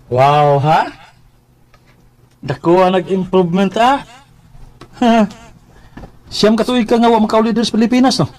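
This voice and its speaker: An actor playing a chummy, excitable best friend with a familiar, prodding manner, in a radio drama. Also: male voice, high-pitched, measured pace, pauses often. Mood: jovial, teasing.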